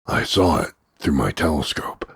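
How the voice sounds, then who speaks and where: A man voicing a cocky, crude macho action hero, gravelly and husky, delivering smug deadpan one-liners in a slow drawl of punchlines. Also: rumbling low, dry recording, studio quality, heavily acted. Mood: deadpan, mocking. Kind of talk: voice-over demo of insults and one-liners.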